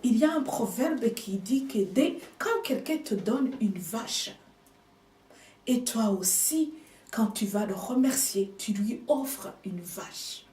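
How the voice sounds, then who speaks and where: Female preacher, non-native speaker, measured emphatic sermon, distant echoey pickup. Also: on the high side, animated. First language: another language than French. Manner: slow, emphatic preaching with hushed asides. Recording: echoey room, speaker off mic.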